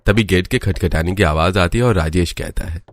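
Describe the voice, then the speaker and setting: A man's voice: low voice, normal speed. A man narrating a scripted family story as its storyteller.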